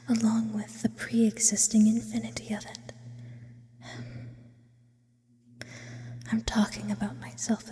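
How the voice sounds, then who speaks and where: A breathy, whispered female demon acted out by a woman hangs in long reverb. She is eloquent, brooding and lonely, an immortal creature of sleep paralysis in an ASMR roleplay. Her words come slowly, with lingering pauses and very little swing in pitch. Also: darkish tone, natural voice. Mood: brooding, wistful. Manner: slow, philosophical, ornate wording with lingering pauses.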